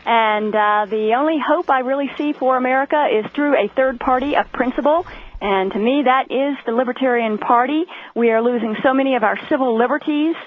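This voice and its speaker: A woman guest on a phone-in radio interview, a little hoarse from a cough, talking earnestly with many fillers. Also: high-pitched, a little fast. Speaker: political candidate guest. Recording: tinny phone line.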